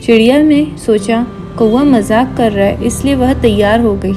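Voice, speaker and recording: High-voiced woman narrating a children's story. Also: measured pace. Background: background music.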